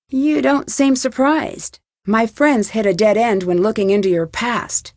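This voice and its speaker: A woman's voice: fairly high pitch, a little mellow, no echo, clean studio sound. A woman playing a curious, composed young female detective in an adventure game, asking direct and unflinching questions.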